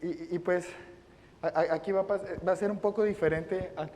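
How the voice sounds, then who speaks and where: A man giving a math lecture, halting and often repeating his words. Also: sits high, fairly even intonation, darkish tone. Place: lecture room. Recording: reverberant lecture hall.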